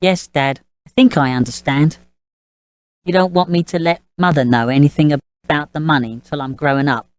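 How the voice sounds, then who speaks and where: A woman's voice: sits low, moderate pace, no echo, close to an everyday voice. Casting: small boy of six played by a woman, earnest and obedient, childlike questioning, very dry audio drama recording. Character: earnest, tearful six-year-old boy, puzzled and obedient.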